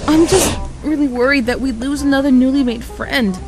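A woman's voice: high voice, moderate pace. A woman voicing an earnest, caring cartoon rag doll who is easily exasperated, in a comic dub. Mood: worried. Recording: music behind the voice.

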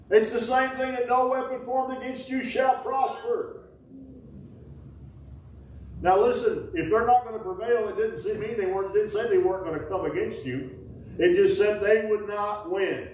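Folksy pastor preaching in an echoey church. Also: male voice, very high voice, steady tone, normal speed, recorded from a distance, slight background noise. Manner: folksy, call-and-response preaching with sudden loud bursts. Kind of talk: sermon. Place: small wooden-walled church sanctuary.

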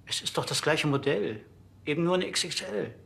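An actor playing a formal, defensive undertaker, polite and businesslike, in a TV crime comedy. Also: male voice, a little high, lively intonation, moderate pace, gravelly, a bit bright, husky, full character voice.

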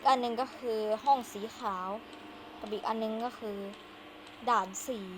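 A woman's voice: fairly high pitch, normal speed. A woman giving casual commentary as she hosts a game playthrough. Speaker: gaming video host. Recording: clearly noisy home recording.

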